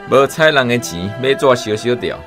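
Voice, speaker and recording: A blunt, jinxing village man acted by a man with a mid-pitched, lively swinging voice and a Taiwanese accent. He sneers dismissively in a radio play, with music behind him. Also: lightly acted. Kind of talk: Taiwanese radio drama acting.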